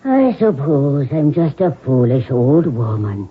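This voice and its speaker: An elderly maidservant full of superstition. She speaks slowly and ominously in a very rough, husky voice. The radio-play recording is narrow and tinny. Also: a bass voice, big pitch swings, heavily acted. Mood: ominous, humble. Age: older. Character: superstitious, foolish old Irish female servant. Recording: band-limited old radio recording.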